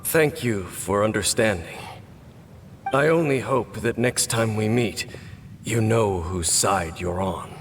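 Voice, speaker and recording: A man's voice: on the low side, slow, a little hiss or hum. A man voicing a stern, weary, ageless warrior captain, grave and formal, in a video game cutscene. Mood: dry, stern. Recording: long reverb, music behind.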